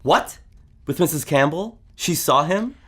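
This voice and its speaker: A man's voice: on the high side, animated, a little slow, fairly bright tone, breathy edge, full character voice. A man playing a skeptical, worrying young roommate in an audio drama, with a dry, exasperated manner. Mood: alarmed, exasperated.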